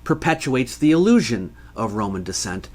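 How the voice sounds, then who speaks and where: Measured man explaining history. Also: mid-range voice, sing-song, darkish tone. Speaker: history educator and channel host. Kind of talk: scripted educational narration answering listener questions.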